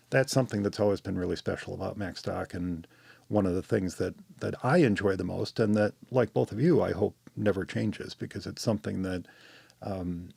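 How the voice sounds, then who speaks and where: A man hosting a podcast, talking in a rambling, relaxed, explaining way into a close microphone. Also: lowish voice, gravelly, slightly rough, no echo. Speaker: podcast host. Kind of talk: casual podcast chat.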